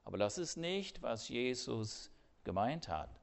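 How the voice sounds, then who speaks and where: An older man preaching a sermon earnestly, swinging between loud emphasis and hushed, quiet asides. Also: medium pitch, very animated, unhurried, creaky.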